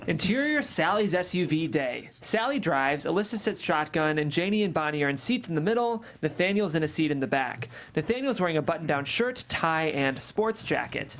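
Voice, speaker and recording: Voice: mid-range voice, expressive, fairly quick, few pauses, fairly bright tone. A narrator reading stage directions aloud in a script table read, plain and matter-of-fact.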